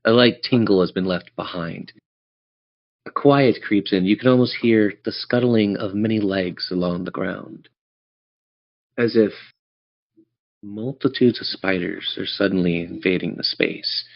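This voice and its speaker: A man's voice: lowish voice, a little slow, gravelly. A man running a tabletop role-playing game, narrating scenes in a descriptive, theatrical storyteller's manner. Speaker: game master. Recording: dull lo-fi audio.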